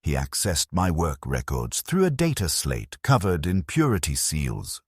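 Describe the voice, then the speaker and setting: Male narrator, grave scripted storytelling: cavernously low, expressive intonation, dry close sound. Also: measured pace, breathy edge. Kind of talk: dramatic first-person scripted narration.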